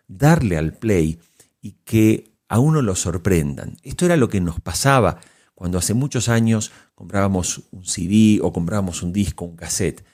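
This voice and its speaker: Male podcast host, low-pitched voice, presenting prepared commentary. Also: a little mellow.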